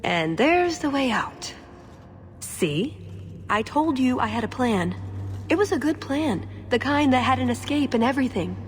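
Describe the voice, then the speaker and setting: A woman playing an earnest, quick-witted, cheeky young elf agent in a video game. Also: high-pitched, big pitch swings, in a large hall, a little hiss or hum. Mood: playful, confident. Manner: earnest, lightly playful. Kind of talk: video game character acting.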